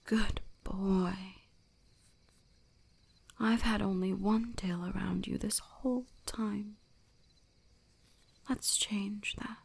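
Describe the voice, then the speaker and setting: A woman plays an ancient kitsune shrine maiden in an intimate ASMR roleplay. She speaks very slowly and breathily, often slipping into whispers, with a creaky edge. Her manner is soothing, possessive and gently commanding. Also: mid-range voice, fairly even intonation, heavily acted. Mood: tender, coaxing.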